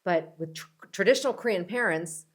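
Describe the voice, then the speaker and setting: Lively American woman in her fifties. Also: lowish voice, fairly quick. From United States. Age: 50s. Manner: animated, laughs often, acts out quoted voices. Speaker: guest; mental health practitioner. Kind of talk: casual interview chat.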